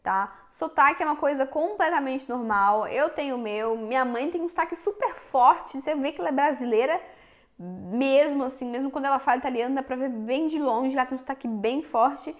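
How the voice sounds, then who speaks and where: A young Brazilian woman with a Minas Gerais accent and a high, bright-sounding voice. She talks frankly to her viewers in a relaxed vlog, addressing them directly the whole time. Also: a little fast. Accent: Minas Gerais Portuguese. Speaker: vlogger. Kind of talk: casual chat to camera.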